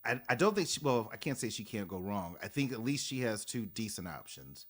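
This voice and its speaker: Measured male podcast co-host. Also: lowish voice, big pitch swings, a bit bright. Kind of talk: casual recap chat.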